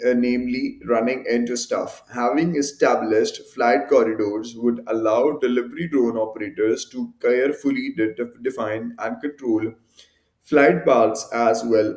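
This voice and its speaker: Male channel host, medium pitch, halting article read-through with self-corrections, recorded in a very echoey room. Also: darkish tone. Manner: halting, stumbles over words and corrects himself. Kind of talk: reading an article aloud.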